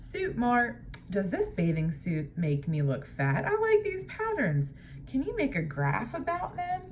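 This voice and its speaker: Woman reading as an eager, slightly higher-pitched storybook animal. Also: huge pitch swings, a little slow, slight background noise. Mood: playful. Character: eager friendly animal character from a children's picture book.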